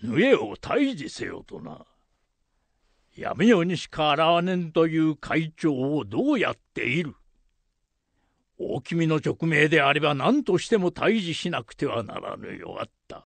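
A man acts a commanding samurai lord in a period radio drama. The voice is gravelly and a little high for a man, with slow, deliberate archaic phrasing. The delivery is authoritative and resolute, with wide swings of pitch. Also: very animated, no echo, full character voice. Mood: pondering, resolute.

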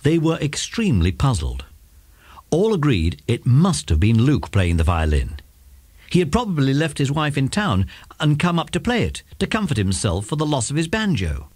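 A man's voice: mid-range voice, huge pitch swings, moderate pace, a little mellow, breathy edge, no echo. A man narrating a children's story from a script.

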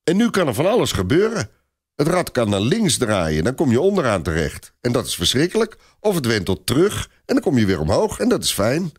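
Man voicing an eager little girl, raised pitch. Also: wildly swooping pitch, a little fast, slightly rough. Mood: insistent. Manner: cocksure, instructive. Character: eager, stubborn young girl, cook's little daughter, explaining earnestly.

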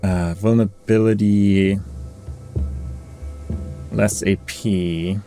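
A man's voice: on the low side, steady tone, drawn-out words, dark tone. A man giving casual game commentary, musing and weighing his options aloud in a hesitant way. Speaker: gaming video creator. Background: game music.

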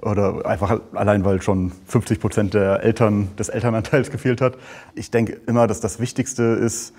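A man in his early thirties talking about himself in an earnest, measured way. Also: lowish voice, flat delivery, a little mellow, husky. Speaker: trainee pastor. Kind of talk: personal conversation.

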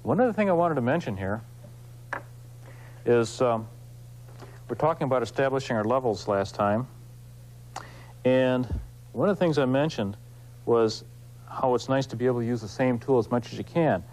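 A man hosting an instructional craft demonstration, explaining informally and in a folksy, unhurried way while he works with his hands. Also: medium pitch, a little mellow, rough recording. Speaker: woodcarving instructor, show host.

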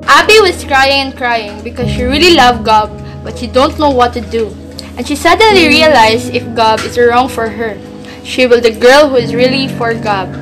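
A woman's voice: on the high side, moderate pace, over music. A woman narrating a scripted amateur radio drama.

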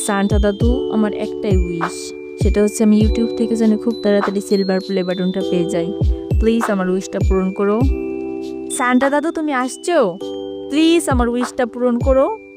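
A woman's voice: mid-range voice, fairly even intonation, normal speed, lightly acted. A woman voicing an eager, naive young girl in a cartoon. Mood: pleading. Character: excitable, wishful young girl who adores Santa. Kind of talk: cartoon character acting. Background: faint music.

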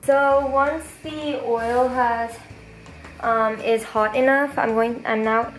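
A woman's voice: a little high, fairly even intonation, rough recording. A young woman hosting a cooking vlog in her home kitchen, talking casually through each step with a few fillers. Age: young adult. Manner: slow, chatty, thinks aloud with fillers. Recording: background music.

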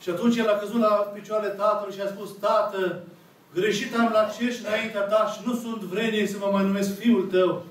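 A man in his thirties, an Orthodox priest with a Romanian accent, preaching slowly and emphatically to a seated congregation with long dramatic pauses, recorded by a camera at the back of a small church. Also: high-pitched, fairly even intonation. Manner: slow, emphatic, dramatic pauses, voices the characters' words. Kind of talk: sermon retelling a parable. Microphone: camera at the back of the room. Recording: echoey church room, picked up from far off.

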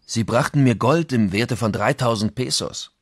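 An actor as a medium-pitched male conquistador chronicler. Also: moderate pace, no room sound, clean studio sound, close to an everyday voice. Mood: measured recounting. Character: male Spanish conquistador chronicler recounting events. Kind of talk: dramatized reading of historical accounts.

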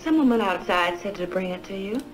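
A woman's voice: medium pitch, expressive, fairly quick, over music, lightly acted, low-quality recording. A woman playing a confident young private detective in a 1960s television drama. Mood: composed. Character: confident young female private detective undercover as cigarette girl.

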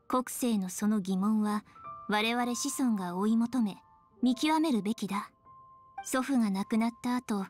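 A woman playing a serious, refined young noblewoman in a Japanese game, with measured and earnest delivery. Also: fairly high pitch, close to an everyday voice. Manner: measured, earnest, formal feminine speech.

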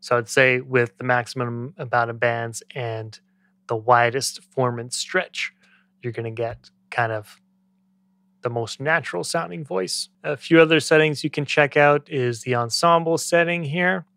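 A man in his thirties giving a casual software tutorial as a music production tutor, speaking into a close studio microphone. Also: mid-range voice, measured pace, creaky, dry recording. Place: small indoor home studio. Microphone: close studio microphone on boom arm.

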